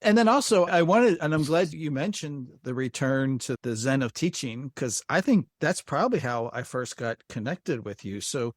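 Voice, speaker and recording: A man's voice: medium pitch, expressive, normal speed, hardly any pauses, dry recording. Casual male interviewer, dry sound. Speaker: podcast host, interviewer.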